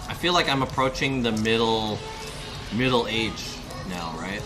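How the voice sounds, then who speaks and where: A man's voice: on the low side, a little slow, rough recording. Man, thirties, casual streamer, rambling, swears, asks viewers questions, restaurant, music in background. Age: 30s. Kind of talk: casual live-stream chat while eating. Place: restaurant, indoors. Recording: music playing behind the voice.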